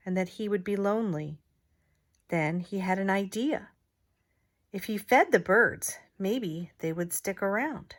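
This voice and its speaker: A woman reading a children's storybook aloud in a deliberate, expressive teacher's manner. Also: mid-range voice.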